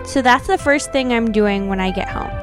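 A woman's voice: a little high, moderate pace. Chatty woman podcaster over music. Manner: chatty, uses like and you know often. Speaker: podcast co-host. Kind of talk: casual chat with dramatic readings of old notes.

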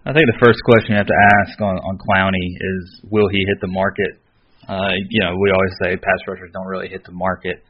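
A man with a deep voice gives casual sports analysis as a podcast co-host. His intonation stays flat and level. The recording sounds a little muffled. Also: a little fast, slight background noise. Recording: slightly dull, lo-fi audio.

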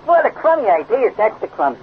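A man's voice: high-pitched, very animated, a little fast. An actor playing a slangy, grumbling male sidekick, a brash space crewman in a 1950s radio serial. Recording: tinny, noisy 1950s radio recording.

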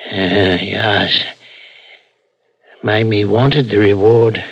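An actor playing a troubled, defensive murder suspect in a 1960s radio drama, hesitant and haunted. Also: male voice, lowish voice, fairly even intonation, drawn-out words, breathy edge, dry recording, full character voice. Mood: uneasy. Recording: dull vintage radio broadcast, music underneath.

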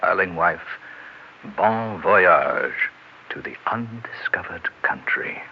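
An actor playing a suave, sardonic, tipsy husband and cold-blooded killer in a theatrical 1960s radio drama. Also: male voice, low voice, animated, creaky, very noisy, full character voice. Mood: gloating, sardonic. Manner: theatrical, mock-tender, deliberate. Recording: muffled vintage radio recording with music.

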